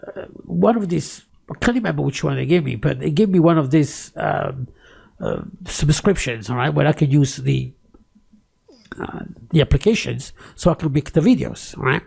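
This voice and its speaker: Gravelly, husky man rambling at the mic. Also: on the high side, expressive, normal speed, darkish tone. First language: not English. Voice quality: close-miked. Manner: rambling, ranting, many fillers and restarts. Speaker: tech YouTuber. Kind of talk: casual screen-recording commentary.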